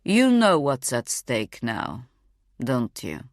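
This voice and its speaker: A woman voicing a cold, controlled male kidnapper who delivers slow, clipped threats. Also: medium pitch, wildly swooping pitch, dry recording, studio quality, pitched below the performer's own voice. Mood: menacing.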